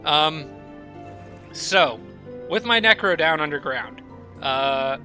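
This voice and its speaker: A man's voice: a little high, animated, takes it slowly, sharp tone, faint music behind. A man giving casual commentary over a strategy game, thinking aloud with many fillers and restarts, his nose stuffed up from allergies.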